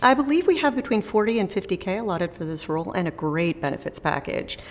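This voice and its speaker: A woman's voice: medium pitch, sing-song, quick pace, big-room sound. A woman playing a recruiter in a scripted phone interview, with a friendly, professional manner. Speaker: recruiter in role-play.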